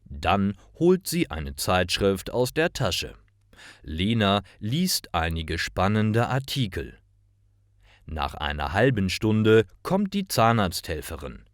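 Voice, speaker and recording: A man narrating simple scripted stories for language learners, reading carefully in clearly phrased short sentences. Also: fairly low pitch, very animated, slow, no echo, clean studio sound.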